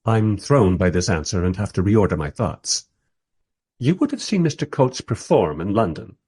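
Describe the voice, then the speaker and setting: A man playing a wry documentary maker, narrating a radio play in the first person with expressive, husky delivery. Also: lowish voice, moderate pace, no room sound, clean studio sound. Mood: hesitant, wry. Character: wry, enthusiastic documentary maker narrating his historical encounters.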